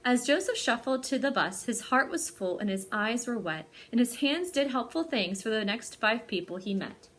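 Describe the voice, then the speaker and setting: A woman with a fairly high voice hosts a storytime for young children. Her delivery is highly expressive and melodic, rising and falling widely as she talks to her small listeners and reads to them. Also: moderate pace, hardly any pauses. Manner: animated, addresses young children directly. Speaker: library storytime host.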